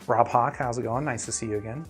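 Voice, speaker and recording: A man hosts a casual live stream in a mid-pitched voice, with music playing behind him. He explains his thinking conversationally, as if chatting with his viewers, and often checks in with them. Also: fairly even intonation, quick pace. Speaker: host of an earphone review live stream.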